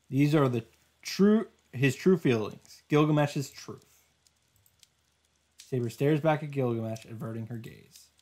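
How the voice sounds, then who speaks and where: A man hosting visual novel highlights, reading the story narration aloud in a dramatic way with some commentary. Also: darkish tone.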